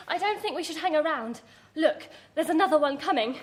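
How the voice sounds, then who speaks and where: A naive young British woman in a radio horror play. Her high voice swoops about, chirpy and dithering. The live stage recording carries faint noise. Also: expressive, fairly quick. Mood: excited. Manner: chirpy, hesitant, exclamatory. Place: theatre venue in front of an audience.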